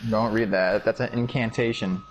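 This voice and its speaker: A man's voice: on the low side, fairly even intonation, moderate pace. A young man chatting with a friend over a game, making dry, teasing remarks. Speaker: gaming friend. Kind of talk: casual gaming chat.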